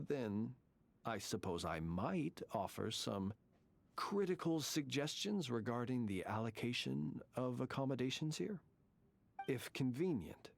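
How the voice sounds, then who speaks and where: An actor playing a courteous, solemn gentleman in a video game, speaking in formal, ornate and measured phrases. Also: mid-range voice, vocal fry, exaggerated voice. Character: courteous, solemn gentleman who lived alone in a cemetery. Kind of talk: scripted video game character dialogue. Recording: game music behind the voice.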